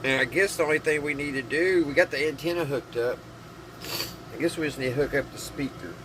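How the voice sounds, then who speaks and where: A man giving a casual hands-on demonstration to viewers, thinking aloud in a folksy, halting way. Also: on the high side, noisy background. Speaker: hobbyist vlogger demonstrating vintage radio.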